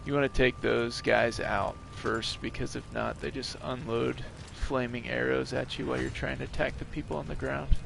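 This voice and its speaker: A man with a hoarse voice from a recent illness, chatting casually while streaming a game and explaining it to a viewer. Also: medium pitch, level tone, normal speed, few pauses, noisy background. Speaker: gaming streamer. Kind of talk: casual live gameplay commentary.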